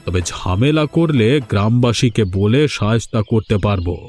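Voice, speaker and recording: A man's voice: lowish voice, lively intonation, moderate pace, a little mellow. A man voicing a stern, domineering village landlord in a cartoon, speaking in a commanding, menacing way. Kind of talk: cartoon voice acting. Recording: music behind the voice.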